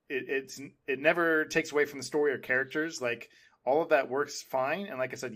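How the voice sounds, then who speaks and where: A man co-hosting a podcast, chatting casually and enthusiastically in halting, repetitive phrases. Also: medium pitch, a bit bright. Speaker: podcast co-host. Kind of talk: casual fan discussion.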